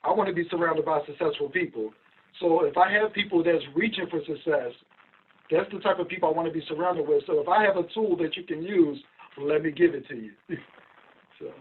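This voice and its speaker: A middle-aged man from Philadelphia, a guest recounting his career in a measured, steady way with frequent ums. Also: a little high, breathy edge. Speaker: guest, investigation company owner. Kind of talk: casual interview over a call. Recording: tinny phone-like line, distant mic.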